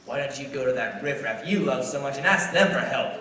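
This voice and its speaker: A man's voice: medium pitch, expressive, a little fast. A man playing a gloating, cold-hearted older banker villain in a live radio play, taunting and sneering. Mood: gloating, scornful. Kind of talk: live 1940s-style radio play acting. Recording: distant echoey theater pickup, loud music.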